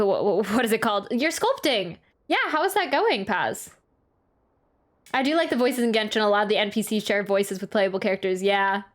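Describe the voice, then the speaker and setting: Female game streamer, higher-pitched, casual reaction chat, loose and halting phrasing. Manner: informal, rambling, halting.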